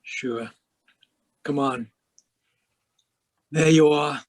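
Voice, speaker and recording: An actor playing a world-weary, wisecracking private detective in 1940s radio noir style. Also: male voice, fairly high pitch, fairly even intonation, drawn-out words, no echo. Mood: terse, cynical. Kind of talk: 1940s radio noir acting, first-person narration. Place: home room, live video call performance.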